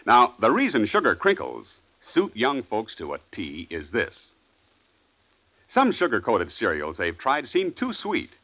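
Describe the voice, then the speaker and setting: An American man working as a 1950s radio announcer, with a polished sales-pitch delivery. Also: wildly swooping pitch, a little slow, sharp tone. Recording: dull old radio recording.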